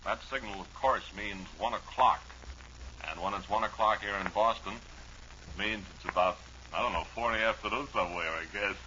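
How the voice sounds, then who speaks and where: A man hosting a radio show with a rambling, dryly humorous monologue and a hesitant, deadpan delivery on a muffled old recording. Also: fairly low pitch, normal speed, slight background noise. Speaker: radio host.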